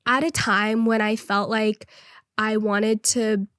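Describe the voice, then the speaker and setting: A woman's voice: medium pitch, flat delivery, takes it slowly, fairly bright tone, smooth, no room sound, studio quality. A teenage girl co-hosting a student podcast, talking earnestly and reflectively in a casual way. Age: teens. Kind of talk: casual conversational podcast chat.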